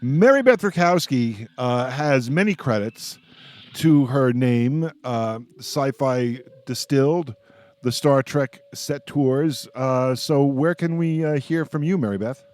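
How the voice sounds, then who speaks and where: A male radio host with a medium-pitched voice runs a casual roundtable interview. He is jovial and jokes easily with his guests. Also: slow pace, a little mellow.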